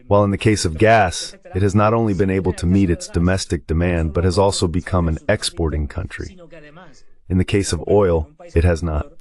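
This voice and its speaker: Man, very deep pitch, political analysis, translated voice-over delivery. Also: steady tone, brisk, a little mellow. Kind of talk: dubbed political commentary.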